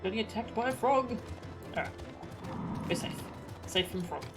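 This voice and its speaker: A woman's voice: a little high, normal speed. A woman giving casual, playful live commentary as a game streamer, sounding amused. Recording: stream with loud game music. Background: game music.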